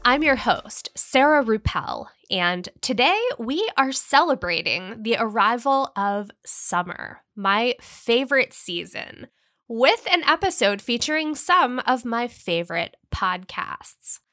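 A woman's voice: fairly high pitch, animated, slow, sharp tone, no room sound, clean studio sound. An American woman hosting a podcast, reading upbeat scripted recommendations in a friendly, polished presenter manner. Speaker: podcast host.

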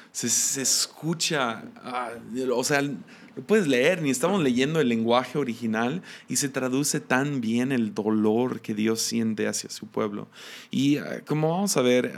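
Gravelly-voiced man teaching a Bible class. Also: mid-range voice. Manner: hesitant, many filler sounds and restarts. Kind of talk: informal Bible lesson.

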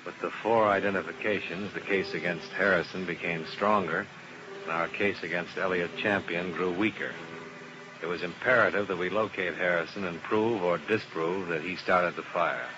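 An actor plays a hard-boiled insurance investigator, narrating in a clipped, matter-of-fact way with a lowish voice. The old radio recording sounds muffled, with clear hiss underneath. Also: male voice, steady tone, moderate pace, lightly acted. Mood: terse. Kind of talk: 1950s radio drama narration.

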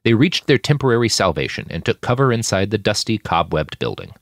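A man reading scripted action fiction aloud in an expressive, dramatic narrating style. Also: fairly low pitch, a little fast, no echo, studio quality. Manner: expressive, shouts out characters' lines. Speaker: audiobook narrator reading own fiction podcast.

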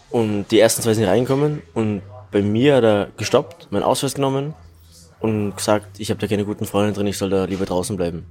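A man's voice: lowish voice, a little mellow. A man, candidly telling a personal story in an interview. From Salzburg, Austria. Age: 20s. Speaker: guest.

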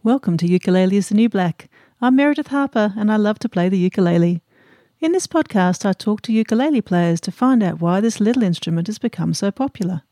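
A woman's voice: medium pitch, animated, fast, warm tone, no echo, faint music behind. An Australian woman in her fifties hosting a podcast, bantering and laughing often in an interview on a cruise ship. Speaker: podcast host.

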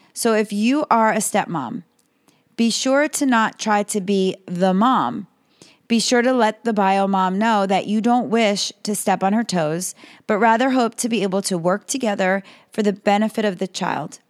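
A woman hosting a podcast, slightly hoarse, reading calm advice in a scripted monologue. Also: mid-range voice, no room sound, studio quality. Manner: calm, advising. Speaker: podcast host.

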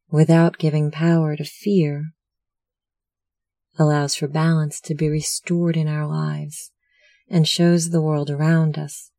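A meditation instructor narrating a guided meditation in a measured, soothing, instructional manner. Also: mid-range voice, vocal fry, warm tone, no room sound, clean studio sound.

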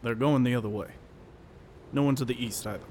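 Man playing a measured, watchful elf. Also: mid-range voice, a little mellow, a little hiss or hum, close to an everyday voice. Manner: measured, terse, dry. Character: formal, watchful elf lookout, calm under threat. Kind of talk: audio drama acting.

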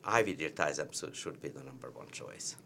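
A man's voice: very deep voice, expressive, fairly quick, gravelly, pushed and bright, a little hiss or hum. A man with a heavy Hungarian accent, a cardiologist explaining treatment with emphatic repetition of key points. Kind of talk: medical teaching discussion.

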